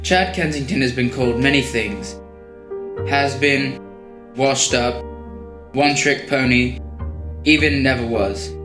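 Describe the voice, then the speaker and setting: A man's mid-pitched voice with clear echo, acting as a documentary narrator over plucked guitar. The scripted delivery is measured and deadpan, with a solemn, mock-grand tone. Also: close to an everyday voice. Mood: solemn, wry.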